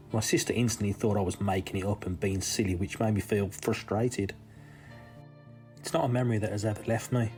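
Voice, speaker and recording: Male story reader, lowish pitch, measured scripted first-person narration with careful pauses, music bed. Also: darkish tone. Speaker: reader of a listener's true story. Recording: music under the voice.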